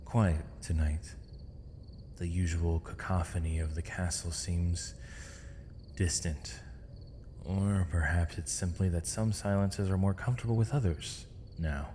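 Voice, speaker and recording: Man acting as deep, slow-spoken imprisoned mage. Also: warm tone, a little hiss or hum. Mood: tender, soft. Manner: measured, formal, poetic phrasing with thoughtful pauses. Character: gentle, weary, eloquent imprisoned male mage, misunderstood villain. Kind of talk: ASMR roleplay, intimate dramatic monologue. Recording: long reverb, faint night insect ambience.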